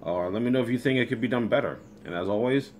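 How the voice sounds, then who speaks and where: A man's voice: medium pitch, fast, a little mellow, slight background noise. A man in his thirties hosting a casual reaction video from a small room at home.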